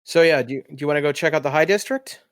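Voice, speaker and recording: A man's voice: on the high side, very fast, no room sound, studio quality. A man acting as game master, narrating a tabletop game casually. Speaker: game master and podcast host.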